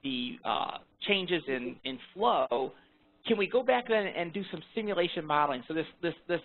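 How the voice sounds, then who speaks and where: A man giving an informal technical presentation on a conference call, halting, often repeating and rephrasing his words. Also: a little high, lively intonation, phone-quality sound. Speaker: research presenter. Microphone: phone conference call. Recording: thin, band-limited phone conference line.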